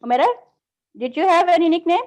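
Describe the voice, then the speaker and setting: A woman's voice: extremely high pitch, fast, a little mellow. A woman teaching an online English class over a video call, patiently correcting students' grammar.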